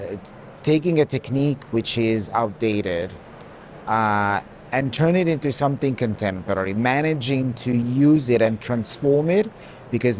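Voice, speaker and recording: A man's voice: mid-range voice, darkish tone. A man giving unscripted commentary on art in a walking conversation. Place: walking through an art fair. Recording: clear background noise of busy fair.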